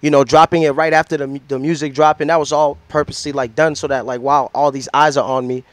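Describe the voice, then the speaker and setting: Fast-talking man from Senegal. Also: on the high side, no room sound. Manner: relaxed, reflective, many "you know what I mean". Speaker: musician guest. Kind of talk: casual interview chat. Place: indoor studio set with couches. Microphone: handheld mic.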